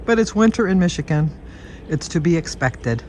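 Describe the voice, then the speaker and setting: A woman's voice: lowish voice, animated, moderate pace, darkish tone, a little hiss or hum. A woman, chatting casually to her viewers and rambling through everyday stories. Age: 70s. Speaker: vlogger.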